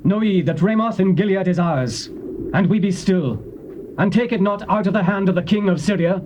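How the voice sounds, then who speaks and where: An imperious, bitter king, acted by a man gives orders in a raised-pitch, declamatory voice. It is classical Bible drama, with slight hiss from old tape. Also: moderate pace, a little mellow, close to an everyday voice. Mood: commanding. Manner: commanding, declamatory. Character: imperious, resentful king of ancient Israel. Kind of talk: dramatized Bible reading, classical stage acting. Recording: old cassette with faint noise.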